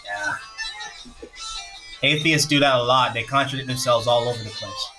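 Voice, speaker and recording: A man from Jamaica chatting casually on a live stream, excitable, repeating himself and laughing often. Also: mid-range voice, normal speed, over music, poor audio.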